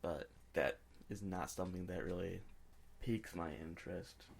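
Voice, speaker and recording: A man's voice: deep voice, fairly even intonation, moderate pace, gravelly. A man co-hosting a podcast, chatting casually about pop culture and giving his opinions freely. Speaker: podcast co-host.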